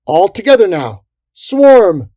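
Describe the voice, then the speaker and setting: A narrator voicing bold, commanding fairy captains who shout battle orders in a storybook. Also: medium pitch, big pitch swings, takes it slowly, dry recording, clean studio sound, voice pushed up. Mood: urgent commanding.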